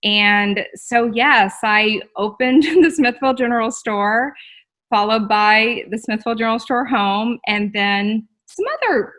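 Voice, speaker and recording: Chatty woman in her forties, bright and forceful, interview guest on a video call. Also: on the high side, measured pace. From Texas. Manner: chatty and laughing, with filler ums. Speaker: guest, store owner. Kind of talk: casual interview.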